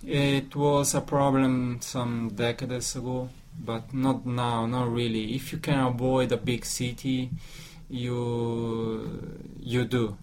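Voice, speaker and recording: A man with an Italian accent in an informal discussion, speaking haltingly and repeating words as he searches for phrasing. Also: mid-range voice, little pitch movement, darkish tone. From Italy. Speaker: discussion participant. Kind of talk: informal discussion in a second language. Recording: clear background noise.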